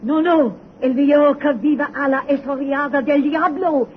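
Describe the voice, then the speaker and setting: A woman's voice: sits high, moderate pace, lightly acted. An actress playing a frightened, superstitious old servant woman in a radio horror drama, urgent and wailing. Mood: fearful warning. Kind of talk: 1930s radio horror acting. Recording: muffled, noisy old radio recording.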